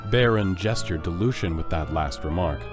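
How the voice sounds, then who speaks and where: Male storyteller, very low pitch, scripted audio drama narration, music underneath. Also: lively intonation, normal speed.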